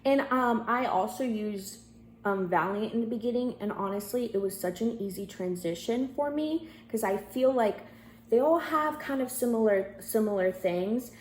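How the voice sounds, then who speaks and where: A woman's voice: fairly high pitch, normal speed. A woman interviewing for a job by phone, talking informally and somewhat hesitantly as she rephrases her answers. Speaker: job candidate. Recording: echoey room, faint background noise.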